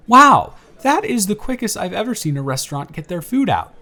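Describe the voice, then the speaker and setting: A man's voice: high-pitched, normal speed, exaggerated voice. A man playing a giddy, smitten young man on a blind date, bantering playfully in a one-sided romantic audio roleplay. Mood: excited, playful. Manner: animated, bantering, playful storytelling with stammers.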